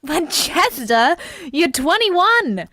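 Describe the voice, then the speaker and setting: A woman's voice: sits high, very animated, extremely slow, sharp tone, dry recording, full character voice. A woman playing a sweet, devoted young daughter in a vintage-style radio play. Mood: tender. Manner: sweet, pleading. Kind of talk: 1940s radio acting.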